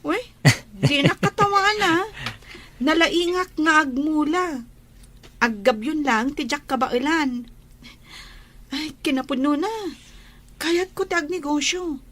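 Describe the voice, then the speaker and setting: A woman as a high-voiced young Ilocano countrywoman. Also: slow, pauses often, fairly bright tone. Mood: chatty, hopeful. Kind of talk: Ilocano radio-style drama acting.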